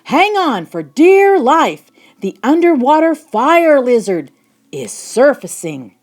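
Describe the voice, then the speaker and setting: A woman voicing an eager young boy, a proud storybook tour guide who announces everything loudly and excitedly. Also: high-pitched, slow, pitched above the performer's own voice, exaggerated voice. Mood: urgent, shouting. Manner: loud excited announcing and commanding.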